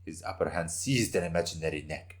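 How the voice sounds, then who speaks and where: A man's voice: low-pitched, a little fast. A man narrating a scripted story in a dramatic reading style.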